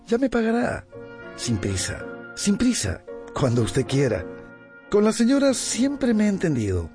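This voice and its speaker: A sly, unctuous merchant in a radio drama. His fairly high voice swoops widely up and down as he flatters without any hurry, music underneath. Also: exaggerated voice. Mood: oily, jocular. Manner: oily, unhurried, ingratiating. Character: sly, unctuous merchant offering credit to a married lady. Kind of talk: Spanish-language radio drama acting.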